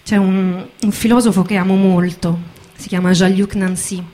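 A woman giving theatrical spoken narration in a measured, deliberate way, pausing between phrases. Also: medium pitch, level tone, mellow. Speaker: narrator, performer.

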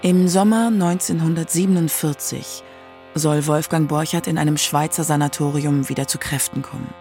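A narrator reading a scripted radio documentary in a measured, prepared way. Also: medium pitch, steady tone, a little mellow, faint music behind.

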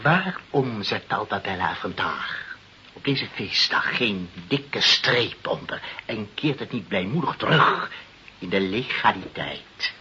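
An actor playing a blustering, self-important director in a radio play, speaking pompously and haltingly and repeating himself. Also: male voice, lowish voice, very animated, pushed and bright, exaggerated voice. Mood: worried, halting. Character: blustering, self-important male director seeking face-saving deals. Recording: muffled old radio recording, light noise.

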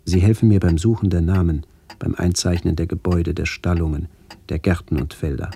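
A man acting in a radio play as a brooding narrator haunted by memories of his lost village, speaking in reflective, halting phrases. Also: low-pitched, fairly even intonation, creaky, dark tone, breathy edge, exaggerated voice.

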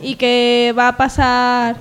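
High-voiced woman reading comic news. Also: completely flat delivery, slow pace, long reverb tail. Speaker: radio comedy show co-host reading joke news. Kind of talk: mock news reading with casual banter.

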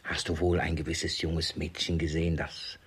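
Very raspy, grumbling old miser. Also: male voice, extremely low pitch, level tone, moderate pace, a bit bright, full character voice. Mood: brusque, controlled. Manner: grumbling, muttering, blustering. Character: miserly, suspicious, irascible old father hoarding gold. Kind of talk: classic comedy radio play acting.

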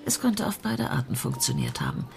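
An actress playing a sharp-minded lawyer in a radio crime drama, articulate and analytical. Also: female voice, on the low side, huge pitch swings, brisk, gravelly, soft background music, full character voice.

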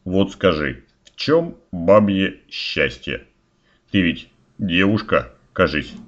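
Man acting cheeky peasant fool, low pitch, slow folksy rhymes, fairy-tale audio play, dull sound. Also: lively intonation, exaggerated voice. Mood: cheeky challenge.

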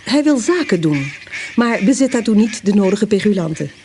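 Woman narrating with a mellow voice. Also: medium pitch, very animated, slight background noise. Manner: measured, storytelling. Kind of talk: scripted radio-play narration.

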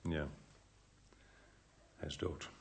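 An actor playing a sober, plain-spoken police inspector in a radio drama, blunt and matter-of-fact. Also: male voice, extremely slow. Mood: grave, subdued.

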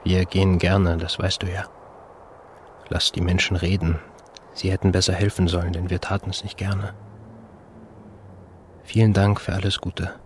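An actor playing a resigned young bank robber saying farewell to his mother in a subdued, halting radio drama monologue. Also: sits low, flat delivery, slight background noise, natural voice. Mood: resigned, tender.

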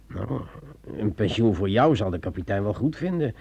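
An actor playing a brave, sceptical sergeant in a Dutch radio drama, hesitant and repeating himself when pressed. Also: male voice, on the low side, animated, moderate pace, gravelly, a little mellow, breathy edge, heavily acted. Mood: hesitant, pressured.

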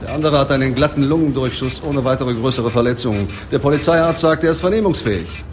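An actor playing a businesslike police detective in a radio drama, reporting facts in a matter-of-fact manner. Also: male voice, medium pitch, fast, noisy background, natural voice. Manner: factual, businesslike.

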